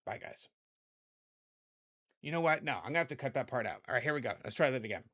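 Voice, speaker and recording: Hoarse, chatty man teaching editing. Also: medium pitch, lively intonation, fast, bright, forceful tone. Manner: chatty, rambling, self-correcting. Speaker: video editing tutorial host. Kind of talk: casual screen-recorded tutorial.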